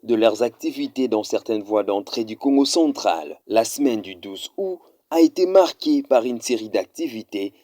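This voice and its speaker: A man's voice: mid-range voice, expressive, warm tone, no room sound. Formal male news narrator, dry studio sound. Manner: formal, measured reporting. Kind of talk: scripted news voice-over.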